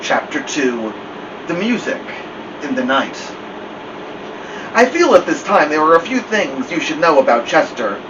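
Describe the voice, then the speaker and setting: A man reading a children's chapter book aloud in an expressive storytelling style. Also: a little high, a little slow, pauses often. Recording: dull, distant mic, steady air-conditioning-like noise.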